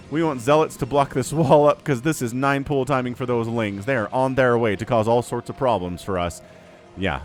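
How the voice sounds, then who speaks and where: Male shoutcaster, slightly scratchy from a cold, very lively pitch, excitable, faint music underneath. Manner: excitable, shouts and yelps at big plays. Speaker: StarCraft shoutcaster. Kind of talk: solo game commentary.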